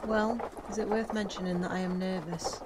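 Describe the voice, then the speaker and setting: A woman playing a composed witch in an audio drama, with a measured, formal way of talking. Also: medium pitch, steady tone, darkish tone, natural voice. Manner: measured, formal, avoids contractions. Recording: faint background noise and ambience.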